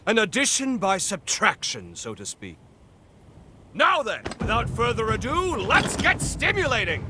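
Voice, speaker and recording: A man playing a grandiose, eccentric, tea-loving gentleman in a video game, with a florid, pompous and theatrical way of talking. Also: wildly swooping pitch, a little slow, background noise, full character voice. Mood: jaunty, then exuberant. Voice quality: high-pitched, swooping delivery. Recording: music behind the voice. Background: clear background music.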